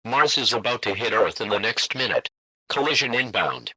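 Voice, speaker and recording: A man's voice: fairly low pitch, expressive, moderate pace, sharp tone, husky, dry recording, low-quality recording. A man reading a scripted emergency broadcast announcement in a stilted, formal, robotic way.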